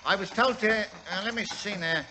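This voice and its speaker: An actor playing a chatty, muddled Cockney cab driver in a 1940s radio drama. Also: male voice, high voice, sharp tone, poor audio. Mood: cheeky, muddled. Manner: chatty, halting recitation of a message. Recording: old radio recording with faint hiss.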